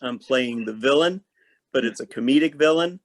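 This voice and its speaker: Guy actor on a podcast, chatty, explains stuff step by step, normal pitch, dry sound. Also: normal speed, dry recording. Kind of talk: casual conversation about work.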